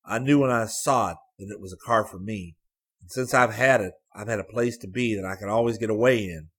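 A man's voice: on the low side, fairly quick, a bit bright, slightly rough. A man voicing a brooding young man in an audiobook, speaking stiffly and irritably. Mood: curt. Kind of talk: audiobook character voice.

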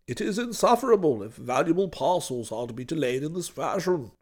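A man voicing an elderly English country gentleman in a storybook, pompous, fussy and easily indignant. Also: a little high, lively intonation, fairly quick, pitched below the performer's own voice. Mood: pompous, put out.

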